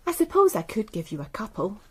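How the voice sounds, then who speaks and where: An actress playing a cool, seductive, self-assured businesswoman in a radio crime drama, poised and measured. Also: medium pitch, wildly swooping pitch, a little mellow, slightly rough. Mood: knowing, amused.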